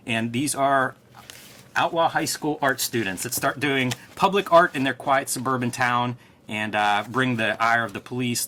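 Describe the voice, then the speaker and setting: A man's voice: mid-range voice, sharp tone, a little hiss or hum. A man co-hosting a casual comics discussion, talking in a conversational, analytical way. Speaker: co-host and comics artist.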